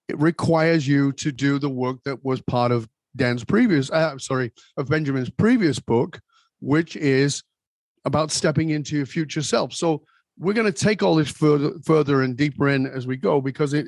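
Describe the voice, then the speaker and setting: A man hosting a podcast in a conversational, deliberate way, pausing and repeating phrases for emphasis. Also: fairly high pitch, no room sound. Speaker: podcast host. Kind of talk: conversational podcast introduction and interviewing.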